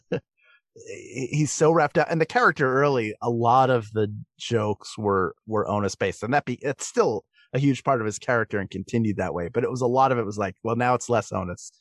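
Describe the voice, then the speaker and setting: A man speaking as a guest on a discussion podcast, thinking through his phrases with a halting delivery. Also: mid-range voice, lively intonation, no echo, studio quality. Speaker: guest voice actor.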